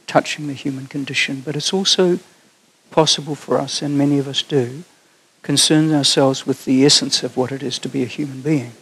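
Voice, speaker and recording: A man's voice: medium pitch, slightly rough, poor audio. Thoughtful New Zealand man on stage. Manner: thoughtful, restarts often. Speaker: keynote speaker. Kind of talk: conference Q&A answers. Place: dark theatre stage.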